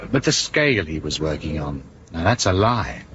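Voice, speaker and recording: An actor playing a blunt, sceptical police inspector who probes and challenges in a radio drama. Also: male voice, rumbling low, sing-song, moderate pace, fairly bright tone, breathy edge, muffled recording, full character voice. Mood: accusing, insistent. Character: dogged, suspicious police inspector confronting a secret service man.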